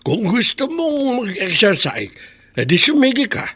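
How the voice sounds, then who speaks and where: A man's voice: very high-pitched, very animated, measured pace, a bit bright, breathy edge, heavily acted. A man playing a stubborn, irritable, self-pitying elderly father in a radio drama, with a querulous, grumbling manner. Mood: grumpy. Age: older. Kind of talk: Tagalog radio drama acting.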